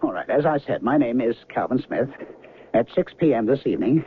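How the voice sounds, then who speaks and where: Guy playing flustered pushy physicist in an old radio drama, really animated pitch, tinny narrow sound. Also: fairly quick, natural voice. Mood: flustered, earnest. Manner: insistent, flustered, stammering. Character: agitated, bewildered male physicist trapped on mysterious train. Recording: noisy, narrow-band old radio recording.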